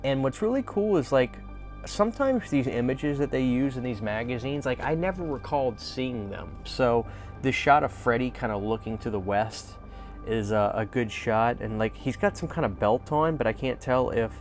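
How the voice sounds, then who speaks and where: A man's voice: medium pitch, lively intonation, moderate pace. Enthusiastic man chatting over music. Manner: enthusiastic, informal fan talk. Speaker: host of a horror fan video channel. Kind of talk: casual vlog commentary.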